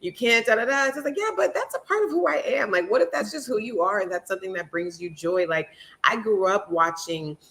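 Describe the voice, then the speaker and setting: A woman's voice: mid-range voice, expressive, a little fast, a bit bright. A woman from Jamaica, co-hosting a podcast in casual chat, talking freely with many "like" fillers.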